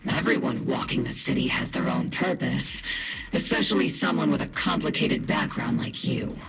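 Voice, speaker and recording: Gravelly, stern military captain. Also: female voice, extremely low pitch, moderate pace, low-quality recording. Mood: guarded, stern. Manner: dry, guarded, authoritative. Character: gruff, stern, skeptical military captain, a seasoned woman. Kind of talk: video game voice acting. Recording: music behind the voice.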